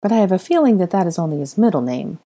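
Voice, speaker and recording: A woman's voice: fairly low pitch, big pitch swings, quick pace, dark tone. A woman voicing a kindly, motherly older woman in a story, patiently coaxing a small child. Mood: puzzled. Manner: kind, coaxing.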